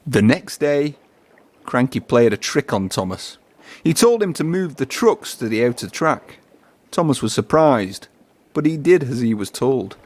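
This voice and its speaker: A man's voice: medium pitch, sing-song, measured pace, darkish tone. A man narrating a children's storybook, reading aloud.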